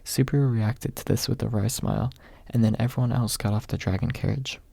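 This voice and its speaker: Soft, creaky ASMR male narrator. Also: mid-range voice, steady tone, a little fast, no echo. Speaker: audiobook narrator. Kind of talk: ASMR audiobook reading.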